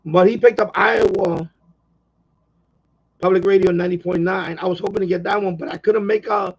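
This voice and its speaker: A man's voice: high voice, steady tone, moderate pace, poor audio. A man hosting a hobby live stream, chatting casually in a rambling way and often repeating himself.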